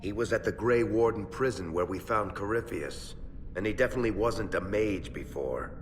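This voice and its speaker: A man playing a sardonic, world-weary male dwarf rogue in a video game, with dry, expressive delivery. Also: lowish voice, normal speed, close to an everyday voice. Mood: dismayed, accusing. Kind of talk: video game cutscene acting. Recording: echoey cavern reverb, background noise.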